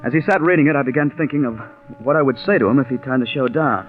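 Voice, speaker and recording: An actor playing an earnest, patient scriptwriter in a polite, measured 1940s radio drama manner. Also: male voice, medium pitch, close to an everyday voice. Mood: earnest narrating. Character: earnest, patient male scriptwriter narrating his own story. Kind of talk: 1940s American radio drama acting and first-person narration. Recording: dull vintage radio recording with music.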